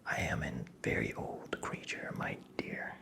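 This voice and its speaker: A performer playing a creepy, teasing, very old male magical imp in a whispered ASMR roleplay, with breathy, creaky, theatrical delivery. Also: lightly acted. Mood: mysterious, teasing. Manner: slow, theatrical, taunting, toying with the listener.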